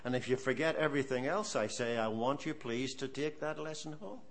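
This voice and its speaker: A man's voice: mid-range voice, a little fast. A man in his seventies with an Irish accent, preaching a sermon in an earnest, storytelling way. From Ireland. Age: 70s. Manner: animated, storytelling, earnest exhortation.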